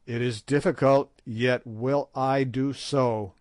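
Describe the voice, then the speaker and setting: Stern king, read by a man: grave, deliberate, authoritative. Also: medium pitch, fairly even intonation, darkish tone, dry recording, close to an everyday voice. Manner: solemn, commanding. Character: stern young African king, commanding. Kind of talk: audiobook character voice.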